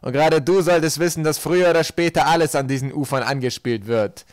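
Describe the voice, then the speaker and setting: A man voicing a theatrical, gloating villainous count with a mocking, drawn-out, declamatory delivery. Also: fairly high pitch, clear, resonant tone, dry recording, clean studio sound, pitched above the performer's own voice, lightly acted. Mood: smug.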